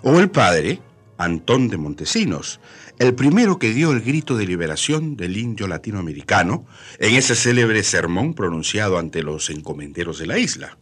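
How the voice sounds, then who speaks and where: A man's voice: fairly low pitch, big pitch swings, normal speed, fairly bright tone, husky, soft background music. A man hosting a radio program, reading scripted narration in a declamatory presenter's style.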